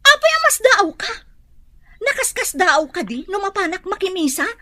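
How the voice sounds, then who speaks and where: A woman's voice: extremely high pitch, very animated, moderate pace, bright, forceful tone, exaggerated voice. A woman playing a haughty, touchy wealthy employer in an audio drama, scolding and scornful. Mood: indignant. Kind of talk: melodramatic audio drama acting.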